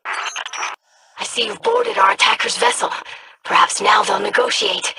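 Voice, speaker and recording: A calm, measured scholar. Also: female voice, on the high side, gravelly, very noisy, heavily acted. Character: cool, measured scholar woman.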